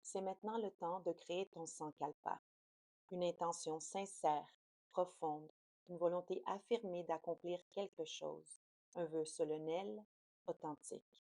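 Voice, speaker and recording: A woman guiding a yoga nidra relaxation in a soothing, slow, unhurried manner. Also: fairly low pitch, steady tone, warm tone, dry recording. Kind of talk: guided relaxation meditation.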